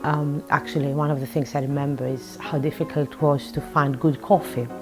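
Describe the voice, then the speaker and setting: A woman's voice: low-pitched, little pitch movement, brisk, over music. A woman in her forties from Greece, an author and psychotherapist, answering interview questions in a reflective way with frequent ums. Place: her office.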